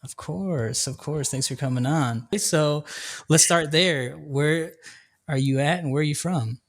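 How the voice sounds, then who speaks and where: A young adult man hosting a podcast, asking relaxed questions with frequent "uh" fillers. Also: a little high, unhurried. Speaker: podcast host. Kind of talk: casual interview conversation.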